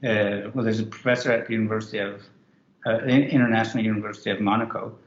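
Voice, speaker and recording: A man's voice: on the low side, steady tone, quick pace. A man, co-author of a book, talking loosely with many restarts in a virtual author interview. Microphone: video call. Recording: roomy, muffled video call.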